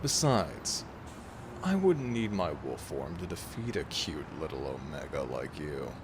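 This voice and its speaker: Man acting a deep, slow, menacing alpha werewolf. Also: sing-song, noisy background, heavily acted. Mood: arrogant, menacing. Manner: formal, haughty, threatening. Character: menacing, proud male alpha werewolf, coldly arrogant. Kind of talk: dramatic audio roleplay acting.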